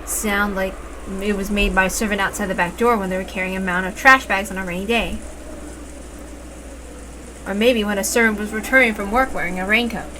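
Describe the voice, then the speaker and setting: A woman's voice: mid-range voice, steady tone, fairly quick. A woman narrating a story aloud as a reader, expressively and with informal asides. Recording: clear background noise, like rain.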